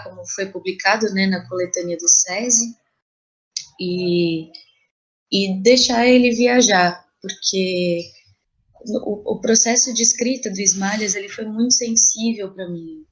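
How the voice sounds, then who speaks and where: A woman's voice: mid-range voice, low-quality recording. Thoughtful Brazilian woman in an interview. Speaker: interview guest, playwright. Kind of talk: reflective interview talk about creative process.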